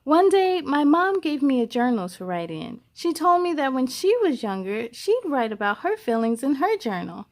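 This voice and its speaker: A woman's voice: high voice, very animated, moderate pace, hardly any pauses. A woman reading as a shy, earnest young schoolgirl in a storybook, confiding in simple phrases. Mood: nervous, sad. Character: shy, nervous, earnest schoolgirl narrating her storybook life.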